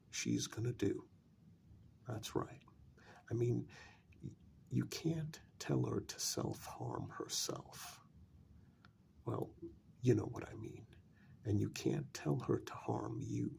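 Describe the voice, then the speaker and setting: A man playing a calm, coldly persuasive vampire in a soft-spoken ASMR role-play, talking deliberately in a gravelly, breathy voice. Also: mid-range voice, a little hiss or hum. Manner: slow, deliberate, pausing between phrases.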